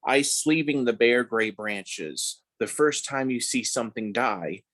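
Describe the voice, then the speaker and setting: A man reciting free verse for a congregation in a plain, measured way. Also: mid-range voice, lively intonation, fairly bright tone. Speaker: poetry reader at a congregation service.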